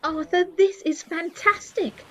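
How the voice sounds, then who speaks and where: A woman's voice: extremely high pitch, unhurried. A woman playing an upbeat, self-satisfied space hitchhiker, breezy and conversational, in a comic sci-fi audio drama. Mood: curious, excited.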